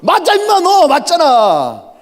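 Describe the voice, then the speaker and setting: A preacher voicing a brash male bystander who crows his accusation loudly and insistently, pitched high and full of bounce. The voice rings out under a long church reverb. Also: huge pitch swings, a little slow, heavily acted. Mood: taunting. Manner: jeering, insistent. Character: loud taunting male bystander accusing someone.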